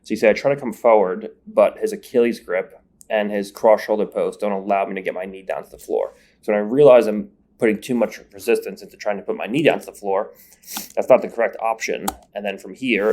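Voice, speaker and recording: Man, low voice, sniffling, numb nose, step-by-step coaching voice-over. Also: steady tone, brisk, a little mellow. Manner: explanatory, step-by-step breakdown. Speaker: grappling instructor. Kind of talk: instructional voice-over commentary. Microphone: voice-over recorded separately.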